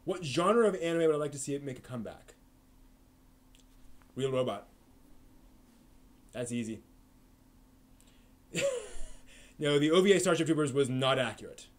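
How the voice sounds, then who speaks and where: A man talks casually on a live stream, answering the chat in a loose, rambling way. He trips over his words, restarts often and leans on fillers as he thinks aloud. His pitch sits right in the middle for a man.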